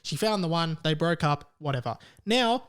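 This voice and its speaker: Man, Australian accent, fairly high voice, casual podcast host, rambling, irreverent. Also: expressive, normal speed, clean tone. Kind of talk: casual comedic rant.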